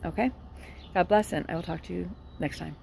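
A woman hosting her own commentary vlog, talking earnestly in a casual, halting way with many fillers and restarts. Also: fairly low pitch, vocal fry, darkish tone, slight background noise. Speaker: political commentary vlogger.

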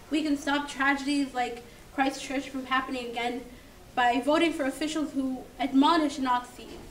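A young woman from Sudan reading a prepared speech aloud in an earnest, measured, oratorical way. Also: a little high. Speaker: speaker at a democracy event. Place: classroom, indoors. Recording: roomy, distant mic, audible noise.

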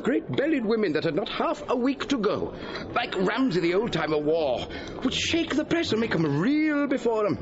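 An actor playing a sociable, gossipy gentleman eyewitness in a Shakespearean audio drama, with an animated, relishing delivery. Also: high-pitched, moderate pace, crowd noise. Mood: delighted, excited. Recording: dull audio with background noise.

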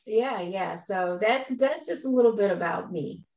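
A woman's voice: mid-range voice, moderate pace, darkish tone. A middle-aged American woman from Texas, a minister speaking conversationally as a guest on a video call. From Texas, United States. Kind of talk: conversational interview. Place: indoors on a video call, virtual background. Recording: echoey video call audio.

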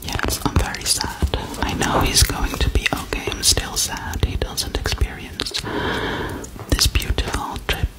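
A woman whispering as a gentle alien girl. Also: natural voice, rough recording. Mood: soothing whisper. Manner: slow close whispering, soothing and reassuring. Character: gentle soft-spoken female alien girl. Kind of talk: ASMR roleplay. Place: small indoor room. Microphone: studio microphone held very close.

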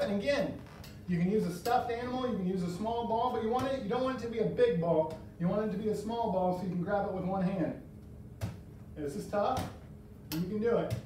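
A man's voice: high-pitched, darkish tone, a little hiss or hum. Male fitness coach, encouraging, step-by-step cues, very echoey room, distant mic. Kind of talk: instructional exercise class. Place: large bare indoor room. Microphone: far camera.

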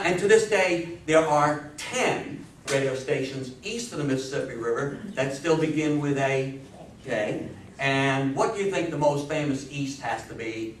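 A man in his sixties giving a history lecture in an emphatic, folksy way, prompting his listeners as he goes. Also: mid-range voice. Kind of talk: animated public history lecture with audience questions. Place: small meeting room with seated audience. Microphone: distant camera microphone. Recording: echoey room, distant microphone, faint noise.

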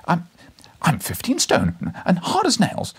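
Man playing a flustered, high-pitched young man. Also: wildly swooping pitch, measured pace, breathy edge, exaggerated voice. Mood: defiant. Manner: stammering, high-strung. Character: indignant young rugby-playing man, flustered but defiant.

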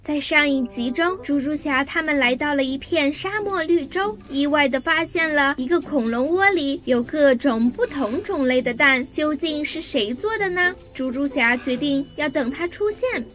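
A woman's voice: high voice, lively intonation, normal speed, hardly any pauses, a bit bright, soft background music. A woman narrating a children's cartoon as scripted storytelling.

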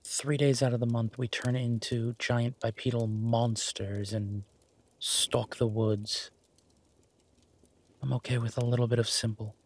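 Husky, slow-spoken werewolf boyfriend, acted by a man. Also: mid-range voice, flat delivery. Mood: tender, content. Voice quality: husky, breathy. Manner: gentle, halting, murmured with long pauses. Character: affectionate, laid-back male werewolf boyfriend, content and tender. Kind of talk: intimate roleplay audio, slow soft conversational acting.

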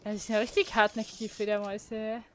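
A woman's voice: mid-range voice, little pitch movement, a little fast, a bit bright. A woman chatting casually as co-commentator while someone plays a video game. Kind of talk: casual chat during gameplay.

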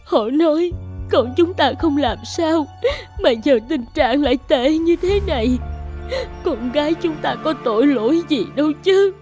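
A woman's voice: high-pitched, lively intonation, measured pace, darkish tone, faint music behind. A woman voicing a loving, anxious mother in a cartoon, speaking in a tender, pleading way. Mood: distraught. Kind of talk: animated cartoon dubbing.

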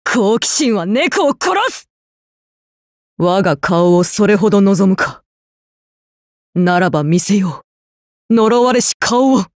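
A woman's voice: medium pitch, expressive, slow pace, long pauses, no echo, clean studio sound. A woman voicing a courteous, gallant young male masked general in a video game, speaking in formal, noble martial declarations. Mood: calm, resolute. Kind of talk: Japanese game battle voice lines.

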